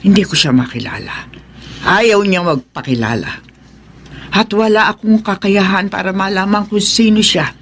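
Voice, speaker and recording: A grave old village healer. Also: male voice, sits high, a bit bright, a little hiss or hum. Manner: grave, measured, foreboding. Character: worried older male village healer, grave. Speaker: folk healer (albularyo). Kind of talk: radio drama acting.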